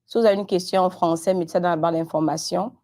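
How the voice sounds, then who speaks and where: A woman whose first language is French hosts a live-streamed chat, her voice low and gravelly. Her tone is dark and mellow. She talks unhurriedly, in halting phrases. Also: steady tone. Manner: halting, unhurried, switches between English and French. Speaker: host of a live show. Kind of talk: casual live-stream interview hosting.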